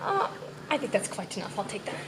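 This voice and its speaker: A woman's voice: mid-range voice, big pitch swings, quick pace, natural voice. A woman playing a hesitant, guarded keeper of an artifact in a live radio play. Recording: noisy live stage recording.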